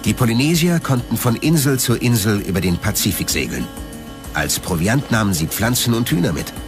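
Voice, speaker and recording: A man's voice: lowish voice, sing-song, brisk, music in the background. A man reading scripted narration as the narrator of a documentary.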